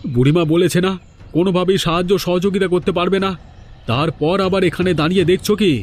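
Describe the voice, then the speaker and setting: A man's voice: high-pitched, steady tone, fast. A man voicing a suspicious, dismissive, taunting village man in a cartoon drama. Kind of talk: Bengali cartoon voice acting.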